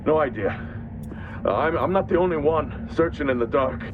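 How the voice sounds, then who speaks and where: A man's voice: animated, moderate pace, narrow, tinny audio, lightly acted. A man playing a beleaguered, wisecracking engineer in a video game, understated and droll in a naturalistic style. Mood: shaken. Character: beleaguered, wisecracking male engineer exploring a mining base. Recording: clear background noise and rumbling ambience.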